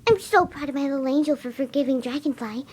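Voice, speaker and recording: A very high, soft-spoken cartoon pony mother acted out by a woman. She is a gentle winged mare who coos sweetly and maternally at her little ones. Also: fast talker, natural voice. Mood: proud, sweet. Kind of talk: fan dramatic reading of a cartoon story.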